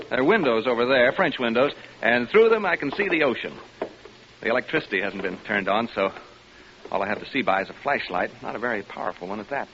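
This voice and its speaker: An actor playing a flippant, jittery radio reporter on a ghost hunt, narrating casually in a vintage radio drama. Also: male voice, mid-range voice, a bit bright, close to an everyday voice. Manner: wry, casual running narration. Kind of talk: 1940s-50s radio drama acting. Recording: noisy, muffled old radio broadcast recording.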